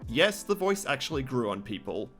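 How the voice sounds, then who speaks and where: A man delivers scripted review narration as the host of a countdown video. His pitch moves in a lively way around an ordinary male register, with faint music playing underneath. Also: moderate pace.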